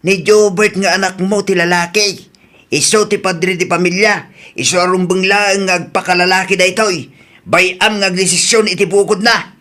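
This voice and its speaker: A man's voice: high voice, steady tone, normal speed, sharp tone. A man playing a sensible, exasperated brother who admonishes his sister in a radio drama. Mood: exasperated reasoning. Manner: admonishing, emphatic. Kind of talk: Ilocano radio drama acting.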